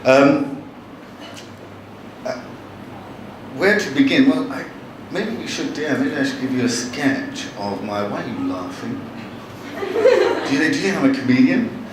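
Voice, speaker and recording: A man, a novelist giving a live talk to an audience in a wry, chatty, halting way, with frequent restarts. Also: mid-range voice, wildly swooping pitch. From born in Bangladesh, raised in London. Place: large hall with audience. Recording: echoey hall from far off, noisy.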